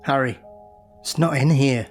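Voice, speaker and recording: An actor playing a defensive, grieving brother in an audio drama, blunt and irritable in manner. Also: male voice, mid-range voice, measured pace, faint music behind, lightly acted. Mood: defensive, agitated. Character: defensive, grieving man, the smaller of two brothers.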